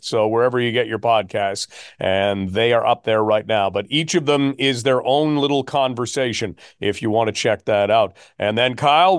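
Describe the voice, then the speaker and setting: A man's voice: medium pitch, clear, resonant tone, no room sound, clean studio sound. A man with a Canadian accent hosting a sports talk podcast.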